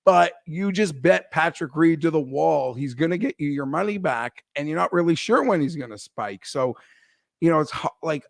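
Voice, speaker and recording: Hoarse man talking golf bets. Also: on the high side, no echo, clean studio sound. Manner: rambling, leans on "like" and restarts. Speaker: golf betting analyst co-host. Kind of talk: casual betting chat.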